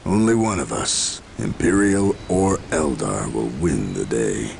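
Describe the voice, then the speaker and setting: An actor playing a stern, zealous military commander in a game, distrustful, proud and grave in manner, with a gravelly bass voice. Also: male voice, lively intonation, measured pace, a little mellow, a little hiss or hum, exaggerated voice. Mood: grim resolve. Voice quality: very deep, gravelly. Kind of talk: video game cutscene acting.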